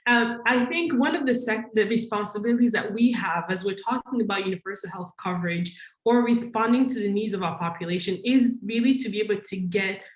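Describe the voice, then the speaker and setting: A woman from Congo speaks at a conference in a mid-range voice. She talks in a thoughtful, searching way, pausing with fillers and reworking her sentences. Her voice carries a noticeable room echo. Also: medium pitch. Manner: thoughtful, with frequent restarts and fillers. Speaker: conference panelist. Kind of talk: conference talk.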